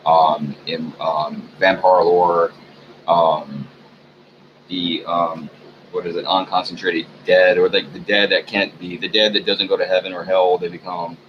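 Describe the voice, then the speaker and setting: A man talking alone at home in a meandering personal vlog, full of ums and trailing thoughts, in a sleepy, low-key way. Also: flat delivery, measured pace. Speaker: vlogger. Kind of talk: rambling personal monologue. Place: room at home. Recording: muffled, noisy home recording.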